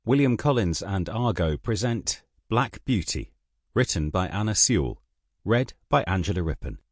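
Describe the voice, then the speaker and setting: A man's voice: fairly low pitch, big pitch swings, normal speed, no room sound, clean studio sound. A man reading formal scripted audiobook credits as an announcer.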